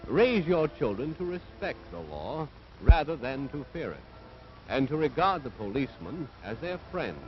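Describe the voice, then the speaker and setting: A man's voice: mid-range voice, very animated, normal speed, faint music behind. An American man delivering scripted 1940s propaganda film narration in an earnest, authoritative, moralizing manner. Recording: dull vintage 1940s film soundtrack.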